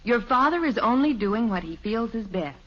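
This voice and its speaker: Lively, placating American radio mom. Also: female voice, on the high side, normal speed. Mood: soothing. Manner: placating, mild, solicitous. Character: gentle, soothing middle-aged housewife and mother. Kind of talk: 1950s radio sitcom acting. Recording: dull, noisy old radio broadcast recording.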